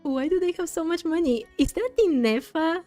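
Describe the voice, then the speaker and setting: A woman streaming a game playthrough, reading dialogue aloud and musing on it thoughtfully, with pauses mid-phrase. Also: extremely high pitch, lively intonation, dark tone. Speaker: gaming streamer. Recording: dry, faint game music behind.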